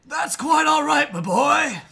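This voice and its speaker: A creaky old hound general. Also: male voice, animated, slow, pushed and bright, exaggerated voice. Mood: hearty. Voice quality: raspy, gravelly. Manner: booming, jovial, drifts into confusion. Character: old male hound general, senile, hearty, bedridden. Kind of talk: fan audio drama reading.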